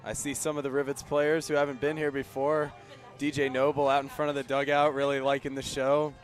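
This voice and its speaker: An American man with an average-pitched voice gives casual co-commentary on baseball radio. He talks conversationally from the ballpark. Faint music plays behind him. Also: level tone, a little fast. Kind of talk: casual baseball broadcast chat. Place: outdoors at a baseball field.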